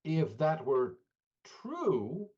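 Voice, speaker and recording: A man giving spoken political analysis as an interview guest, hesitant and often pausing mid-sentence. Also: a little high, huge pitch swings, darkish tone, slightly rough, muffled recording.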